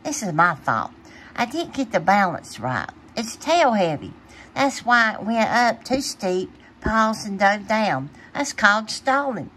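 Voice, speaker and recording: A woman's voice: mid-range voice, big pitch swings, a little slow, pushed and bright, full character voice, rough recording. A woman voicing a proud, kindly boy bear in a children's storybook, earnest and instructive like a careful big brother. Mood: reassuring.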